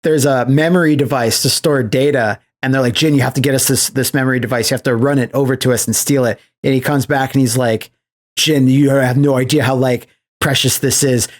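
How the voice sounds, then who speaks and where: A man with a medium-pitched voice talks casually as a podcast host. He tells things like an animated storyteller, dropping little acted-out quotes into his recaps. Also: brisk. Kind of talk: casual chat recapping films.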